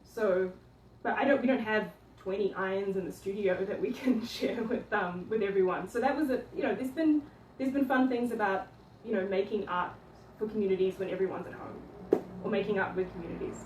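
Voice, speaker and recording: A woman from New Zealand, an art teacher, chatting casually through a livestream tour of her studio, often pausing with ums and restarting her sentences. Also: medium pitch, darkish tone, slight background noise. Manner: hesitant, many ums and restarts. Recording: echoey room, voice from a distance.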